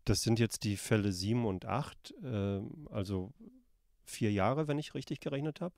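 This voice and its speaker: A man's voice: fairly low pitch, normal speed, gravelly, a little mellow, dry recording, clean studio sound. A man interviewing an actor, asking short questions and prompting with brief acknowledgements.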